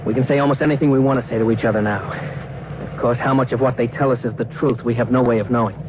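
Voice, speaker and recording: An actor playing an earnest, anxious communications officer, deferential but eager, in American 1950s radio drama style. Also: male voice, mid-range voice, steady tone, quick pace, lightly acted. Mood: dutiful. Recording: muffled, noisy old-time radio recording.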